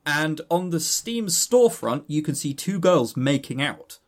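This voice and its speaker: A man from southern England with a southern English accent and a fairly high voice. He co-hosts a casual gaming news podcast with a very lively, swinging intonation. His manner is sardonic and freely sweary. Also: measured pace. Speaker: podcast co-host.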